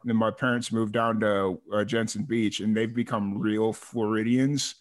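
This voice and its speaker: A man's voice: lowish voice, fairly even intonation, moderate pace. A man from Chicago hosting an interview, talking in a rambling, friendly way with lots of "uh" and restarts. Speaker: host. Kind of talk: casual interview chat.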